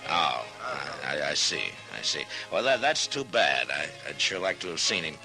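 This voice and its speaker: An actor playing a calm, quietly authoritative marshal of the Old West, with an American accent and a measured, polite manner, in 1950s radio western style. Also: male voice, a bass voice, vocal fry, full character voice. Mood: polite, probing. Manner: measured, polite, understated, hesitant phrasing. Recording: old radio recording with music.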